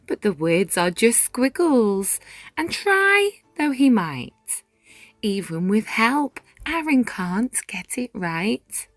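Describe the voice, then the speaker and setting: Lady doing a kids story read-aloud, super slow and really expressive, faint music behind her. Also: a little high, no echo. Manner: measured, dramatic phrasing with deliberate pauses. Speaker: storyteller reading a picture book aloud. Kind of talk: expressive children's read-aloud narration in rhyme.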